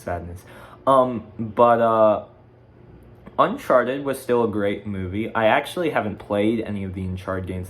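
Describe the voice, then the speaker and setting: A teenage boy with a fairly low voice talks casually as the host of his own video. He rambles and corrects himself as he goes, like someone chatting off the cuff to viewers. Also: slight background noise. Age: teens, fifteen. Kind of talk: casual chat ranking video game movies.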